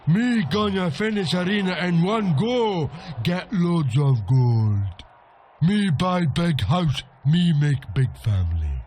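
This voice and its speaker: A man's voice: on the high side, sing-song, takes it slowly, darkish tone, a little hiss or hum. An actor voicing a simple-minded, eager bandit fighter in a video game, talking bluntly in broken caveman grammar. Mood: eager, boastful. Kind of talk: video game character acting.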